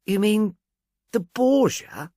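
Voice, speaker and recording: A man's voice: high-pitched, expressive, slow, mellow, no room sound, clean studio sound, pitched above the performer's own voice, heavily acted. A man voicing an amiable, slightly obtuse police detective in an audiobook, chatty and musing, earnestly puzzled. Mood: puzzled, aggrieved. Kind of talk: audiobook character dialogue.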